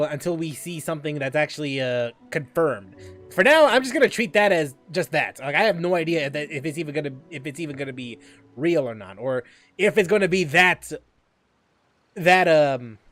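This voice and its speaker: A man giving casual, slangy game playthrough commentary as a streamer, expressive and prone to repeating himself. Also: fairly high pitch, moderate pace, soft background music. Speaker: gaming streamer.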